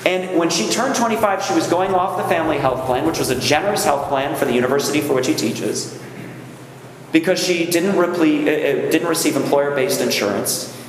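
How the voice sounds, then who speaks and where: A man's voice: a little high, fairly quick. Man speaking in an echoey hall. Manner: conversational, checks in with the audience. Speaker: rabbi leading a workshop. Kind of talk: speech to a gathering. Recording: echoey hall, faint room noise.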